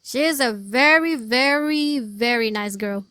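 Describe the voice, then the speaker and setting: A woman's voice: a little high, a little slow, bright, forceful tone, dry recording. A woman giving casual gameplay commentary, hesitant and stammering, often repeating her words. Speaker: game streamer reacting to story dialogue.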